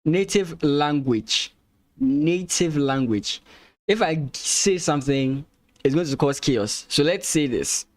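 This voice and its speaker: A man's voice: fairly high pitch, lively intonation, takes it slowly. A man from Ghana, a native Ga speaker, commentating chess lines on a live stream, thinking aloud with hesitant restarts and easy laughter.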